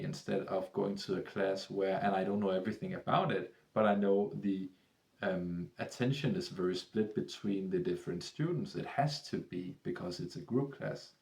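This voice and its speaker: Man, Danish accent, low gravelly voice, rambling sales pitch. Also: a little slow, darkish tone, echoey room. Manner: rambling, many restarts, salesy enthusiasm. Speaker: Danish tutor. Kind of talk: persuasive talk to camera.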